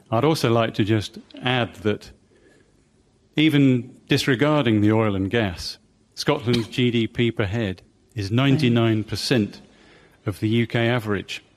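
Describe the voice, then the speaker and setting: A man with an English accent, an audience member making a measured point with figures at a live debate. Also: medium pitch. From England. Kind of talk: public debate comment.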